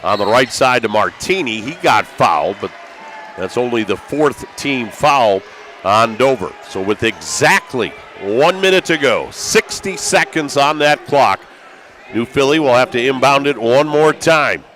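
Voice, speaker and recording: An American man doing live basketball play-by-play as a broadcaster, excitable and raising his voice with the action. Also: medium pitch, measured pace, some chatter behind. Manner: excitable, calls out action with raised voice. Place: courtside in high school gymnasium. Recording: live gym broadcast with background noise.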